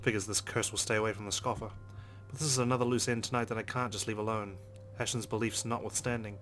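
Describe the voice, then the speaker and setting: A man's voice: lowish voice, fairly even intonation, quick pace, fairly bright tone, a little hiss or hum. A young man hosting a let's play, reading game narration aloud with casual reactions.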